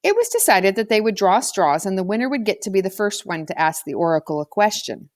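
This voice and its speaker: A woman's voice: medium pitch, big pitch swings, a little fast, darkish tone, no echo, clean studio sound. A woman reading a children's novel aloud as a scripted narrator. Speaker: audiobook reader.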